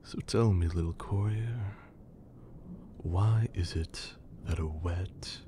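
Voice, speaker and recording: Man playing a slow, low, whispering vampire lord. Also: dark tone, a little hiss or hum. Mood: stern, imperious. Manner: theatrical, drawling, often breathy near-whisper. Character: haughty, menacing, teasing male vampire lord. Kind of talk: ASMR roleplay, scripted character acting.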